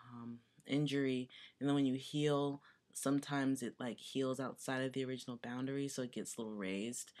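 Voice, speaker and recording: Young adult male vlogger, mid-range voice still settling lower, rambling and sincere, casual home-video delivery. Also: a bit bright. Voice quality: voice still deepening, not yet fully low. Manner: chatty and earnest, rambling with many fillers. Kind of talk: casual personal video update.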